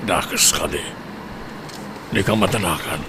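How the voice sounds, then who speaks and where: A man playing a slow, pleading jilted lover. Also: sits low, gravelly, heavy background noise. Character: jilted man pressing his ex-girlfriend. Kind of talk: audio drama acting.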